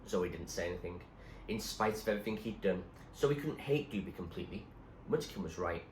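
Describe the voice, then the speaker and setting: A man reading a story aloud as a teacher would, in expressive storybook narration. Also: low-pitched, brisk. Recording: roomy echo, faint background noise.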